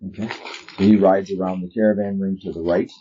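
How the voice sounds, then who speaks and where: A man's voice: sits low, fairly even intonation, fairly quick, warm tone. A man narrating a tabletop game as game master, explaining scenes conversationally in plain detail. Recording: dull, noisy home recording.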